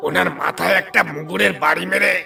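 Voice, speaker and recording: A man playing a greedy, scheming cattle thief in a cartoon, with a gravelly, creaky voice. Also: high voice, fast talker, pushed and bright, faint music behind, heavily acted. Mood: sly, greedy. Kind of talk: Bengali cartoon villain acting.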